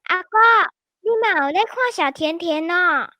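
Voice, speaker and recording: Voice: very high voice, moderate pace, pushed and bright, dry recording, studio quality. A performer playing a sweet, eager little girl in a radio drama, with a Taiwanese accent. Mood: curious, correcting.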